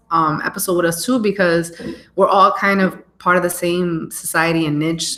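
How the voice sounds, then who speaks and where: A woman's voice: fairly low pitch, fairly bright tone, roomy sound. A woman from the Bronx co-hosting a live podcast, chatting in an upbeat way and laughing easily. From the Bronx, New York. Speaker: podcast co-host. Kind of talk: casual live-stream chat.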